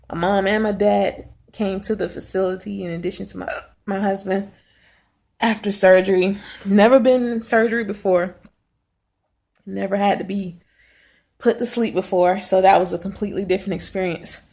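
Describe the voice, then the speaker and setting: Hoarse, gravelly-voiced woman vlogging. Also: medium pitch, fairly even intonation, a little mellow. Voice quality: raspy. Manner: halting, frequent throat clearing. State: hoarse, sore throat. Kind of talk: casual video diary. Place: at home.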